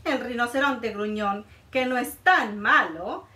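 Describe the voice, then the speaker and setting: A woman in her thirties reading a picture book aloud to children in a playful, expressive way, in a small room. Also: fairly high pitch, slow, roomy sound, recorded from a distance. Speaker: children's librarian. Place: small room indoors, before a board.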